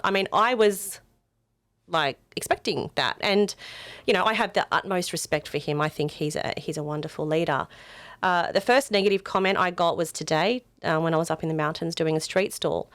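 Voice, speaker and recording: A woman's voice: on the low side, a bit bright, no echo. An Australian woman speaking as a political candidate in an interview, earnest and emphatic, repeating points to stress them. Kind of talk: interview answers.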